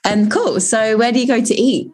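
Husky-voiced woman interviewer. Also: fairly high pitch, moderate pace, darkish tone. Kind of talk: friendly casual interview questions.

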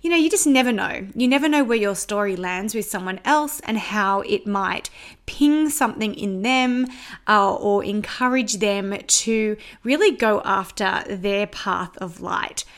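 An Australian woman in her thirties hosting a podcast, telling her personal story in an earnest, open way with soft fillers. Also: medium pitch, measured pace. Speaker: podcast host.

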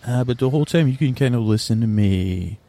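A man's voice: mid-range voice, expressive, a little fast, mellow. A man hosting a sleep podcast, talking in a languid, meandering bedtime monologue that drifts off topic and keeps interrupting itself.

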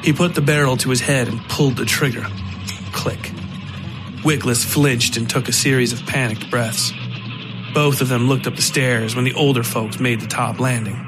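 A man reading scripted dark fiction as the narrator of a horror podcast. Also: medium pitch, steady tone, normal speed, rough recording. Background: music.

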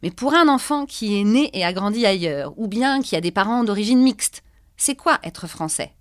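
A woman's voice: mid-range voice, expressive, normal speed, no room sound. Dry-sounding woman podcast host. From France. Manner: conversational, with frequent hesitation fillers. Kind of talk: podcast narration and interviewing.

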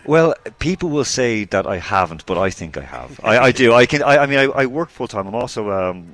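A man with a very low voice chats as a guest on a talk show. He rambles loosely, fills pauses and corrects himself mid-sentence. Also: big pitch swings, fairly bright tone. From Ireland. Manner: rambling, self-correcting, many fillers. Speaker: guest broadcaster. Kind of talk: casual interview chat.

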